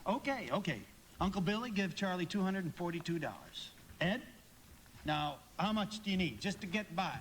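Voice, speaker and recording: A man's voice: high-pitched, expressive, normal speed, a little hiss or hum. A man playing an earnest young small-town loan manager in a radio drama, folksy, impassioned and prone to stammering. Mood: relieved, urging. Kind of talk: live radio drama acting.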